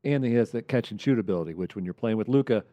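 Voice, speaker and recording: A man's voice: lowish voice, rapid-fire, mellow, no echo. A man hosting a sports roundtable in a conversational way. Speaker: host of a sports media roundtable.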